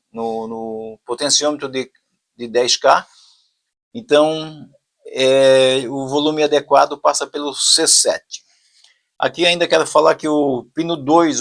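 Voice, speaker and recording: A man's voice: medium pitch, gravelly, fairly bright tone. A man with a Brazilian accent giving an informal technical tutorial, talking conversationally and step by step as a hobbyist presenter.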